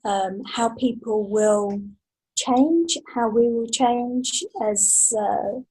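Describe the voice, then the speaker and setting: A middle-aged British woman giving thoughtful, halting answers as a guest in a casual interview. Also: medium pitch, warm tone, breathy edge, low-quality recording. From Britain. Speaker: podcast guest, science fiction author.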